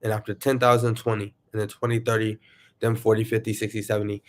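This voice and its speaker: A man casually chatting to viewers on his livestream, rambling and excitable, sniffling from allergies. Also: on the low side, fast, a little hiss or hum. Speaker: YouTuber livestreaming.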